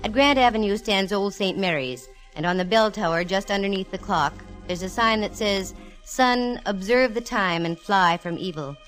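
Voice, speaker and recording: A woman's voice: medium pitch, moderate pace, fairly bright tone, natural voice, rough recording. An actress playing a witty, self-assured female private detective in a 1940s radio drama, with wry, teasing banter. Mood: wry, confiding. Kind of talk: 1940s radio detective acting with first-person narration. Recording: vintage 1940s radio, music behind.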